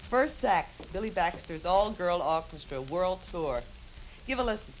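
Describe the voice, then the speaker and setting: An actress playing a flirty, brash band saxophonist in a 1950s radio drama, breezy and slangy. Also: female voice, lowish voice, lively intonation, measured pace, very noisy.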